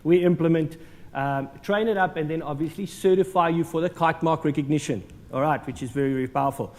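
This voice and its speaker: A man's voice: a little high, a little fast, darkish tone. South African man presenting in a hall. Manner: jokey, conversational, many ums and uhs. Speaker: conference speaker. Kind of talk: conference presentation. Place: large conference hall.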